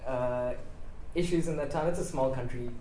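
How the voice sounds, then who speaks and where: A man's voice: medium pitch, normal speed, dark tone. A man talking casually as an interview guest, rambling with fillers like "like" and "uh". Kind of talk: casual conversation. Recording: echoey room, light background noise.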